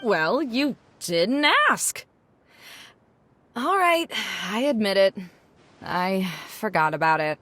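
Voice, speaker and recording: A woman playing an easygoing young woman in a video game, matter-of-fact and quietly playful. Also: medium pitch, lively intonation, slow pace, long pauses, no echo. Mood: sheepish, teasing. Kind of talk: video game cutscene acting. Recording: clear background noise under dialogue.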